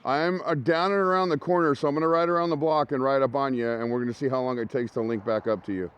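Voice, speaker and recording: A man's voice: mid-range voice, fairly even intonation, fast, highs cut off, a little hiss or hum. A man hosting a gear review vlog, chatting informally and directly to his viewers. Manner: informal, conversational, talks to viewers. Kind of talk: casual product review chat to camera.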